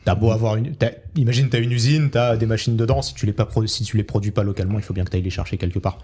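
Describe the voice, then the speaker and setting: A man's voice: fairly low pitch, fairly even intonation, rapid-fire, darkish tone, breathy edge. A young man hosting a casual podcast, explaining history loosely with many fillers. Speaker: podcast host.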